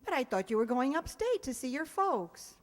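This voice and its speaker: A woman's voice: sits high, very animated, normal speed, slight background noise. A woman acting in a radio sitcom as a wisecracking schoolteacher, with a dry, sardonic delivery. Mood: welcoming. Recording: echoey hall, long reverb.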